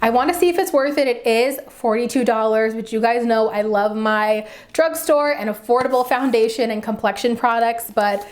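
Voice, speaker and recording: Woman, somewhat high voice, slight dry rasp, getting over flu, casual chatty product reviewer, enthusiastic, informal. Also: moderate pace, hardly any pauses. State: getting over the flu, mild sore throat. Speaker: beauty vlogger, channel host.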